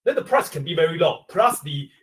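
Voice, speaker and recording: A man with a Taiwanese accent answers a webinar question, explaining step by step in halting English. His voice sits on the higher side. He sounds set back from the microphone, as on a video call. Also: very animated, distant mic. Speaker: industry expert guest.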